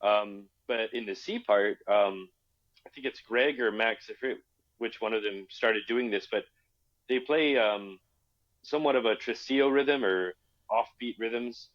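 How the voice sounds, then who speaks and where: A man with a low voice, a musician guest, answering in a relaxed, thoughtful, halting way. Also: highs cut off. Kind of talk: casual interview chat.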